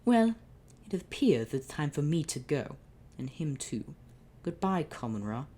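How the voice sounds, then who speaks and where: Woman reading a firm devoted pharaoh, low and kinda dark toned. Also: big pitch swings, unhurried, husky, exaggerated voice. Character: devoted calm pharaoh man, adult lover.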